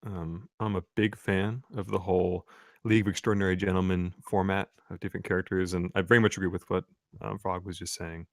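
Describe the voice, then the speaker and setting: A man's voice: low voice, flat delivery, a little fast, no room sound, studio quality. A man giving casual feedback on a script in brief, clipped phrases. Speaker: table read participant giving script feedback.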